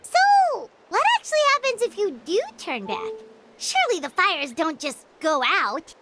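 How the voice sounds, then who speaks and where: A woman voicing a tiny, bubbly, boastful fairy companion girl in a video game, with a squeaky childlike voice and perky, exclamatory delivery. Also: huge pitch swings, unhurried, very noisy, exaggerated voice. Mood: curious. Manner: perky, exclamatory, speaks of self in third person. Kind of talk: video game character voice acting. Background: loud music.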